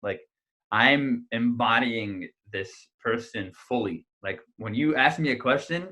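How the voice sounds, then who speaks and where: Animated young male comedian. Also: mid-range voice, measured pace, rough recording. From United States. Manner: animated storyteller, many fillers and restarts. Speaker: stand-up comedian guest. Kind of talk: casual interview chat. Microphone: video call.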